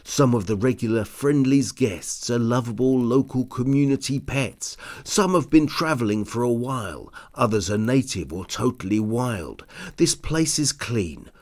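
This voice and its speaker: A man voicing a kindly, dignified cat master chef in a children's storybook, reasoning gently. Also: mid-range voice.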